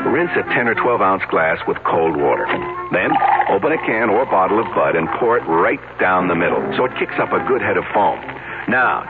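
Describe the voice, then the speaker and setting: A man voicing a radio commercial in a hearty, persuasive way. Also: fairly low pitch. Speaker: announcer. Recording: tinny old radio ad with loud music.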